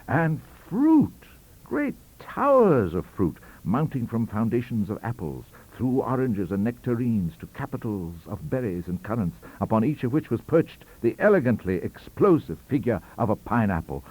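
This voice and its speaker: A man's voice: mid-range voice, very animated, normal speed, darkish tone, breathy edge, poor audio. A man reading a ghost story aloud as an audiobook, with theatrical, wry delivery. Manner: theatrical, wry, richly varied. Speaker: audiobook reader. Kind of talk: expressive storybook narration.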